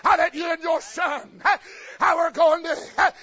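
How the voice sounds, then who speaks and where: A man voicing a stern old prophet making demands, shouting with uh after each phrase. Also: very high voice, fairly even intonation, normal speed. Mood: commanding. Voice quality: shouted, punctuated by uh. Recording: lo-fi recording with faint congregation.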